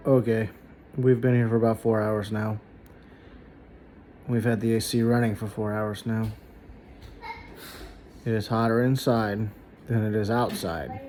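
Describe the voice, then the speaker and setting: A man's voice: on the low side, flat delivery, unhurried, long pauses, mellow. A man talking casually to his camera as a travel vlogger on location. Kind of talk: casual on-location vlog talk. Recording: noisy ambience around the voice.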